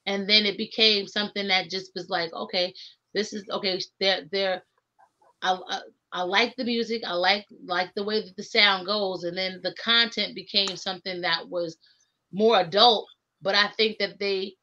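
A woman's voice: medium pitch, fairly even intonation, moderate pace, pushed and bright. A middle-aged woman, a rap artist, chatting earnestly and reflectively on a video call from a home studio. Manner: earnest, reflective, laughs often. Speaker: rapper guest. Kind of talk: casual video call chat. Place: home studio room with framed records. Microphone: webcam on video call. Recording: dull lo-fi video call audio.

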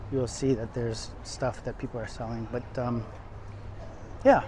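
A man talks his way through a walking tour, casual and rambling, full of ums and restarts. His voice sits at a middling pitch. Behind him a busy crowd chatters and traffic passes on the street. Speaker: vlogger filming a walking tour. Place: outdoors on busy city streets. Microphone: camera held while walking, speaker off screen. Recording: outdoor street with crowd noise. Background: crowd chatter and passing traffic.

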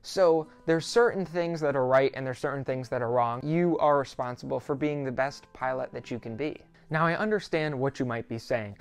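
A man's voice: medium pitch, moderate pace, few pauses, clear, resonant tone. A sixteen-year-old young man talking to camera as a host, explaining things in a reassuring, conversational way. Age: 16, teenager. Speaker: student pilot YouTuber, host. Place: small quiet home office, indoors.

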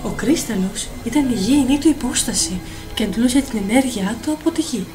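A woman narrator reading a dramatic, scripted fairy tale for an animated film. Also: on the high side. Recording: voice over clear film music.

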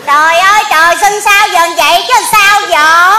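A woman with a Southern Vietnamese accent plays a loud, nosy aunt with a very high, piercing voice in a comedy sketch. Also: normal speed, heavily acted. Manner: loud, exclaiming, gossipy. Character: loud, nosy, shrill-voiced aunt who meddles in the family. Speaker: comic stage actor.

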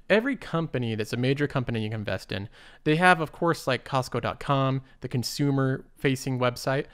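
A man's voice: medium pitch, smooth. A young man hosting an investing show, explaining a company in a casual, upbeat, step-by-step way over slides. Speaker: investing channel host.

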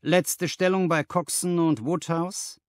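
A man voicing an energetic, flattering financial agent in an audiobook, speaking in an emphatic, self-assured way. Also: fairly high pitch, slow, no room sound, studio quality, pitched above the performer's own voice. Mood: brisk, flattering. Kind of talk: dramatized audiobook dialogue.

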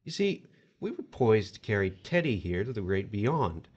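A man's voice: lowish voice, sing-song, moderate pace, husky, highs cut off. An actor playing an officious otherworldly male collector of souls in an audio horror drama, wry and formal as he explains procedure. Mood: officious, explaining.

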